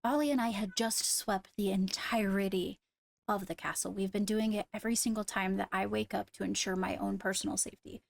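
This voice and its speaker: A guarded young royal played by a woman in a game roleplay, with a gravelly fry in a mid-pitched voice. She reasons aloud carefully and deliberately, hedging as she weighs each suspect. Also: fairly even intonation, lightly acted. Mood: serious, deliberating. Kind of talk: improvised Minecraft roleplay.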